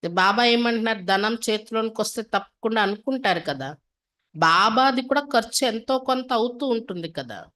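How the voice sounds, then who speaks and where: A woman's voice: a little high, lively intonation, moderate pace, a bit bright. A woman from India giving a religious class, reading scripture aloud, then explaining it instructively with repetition and rhetorical questions.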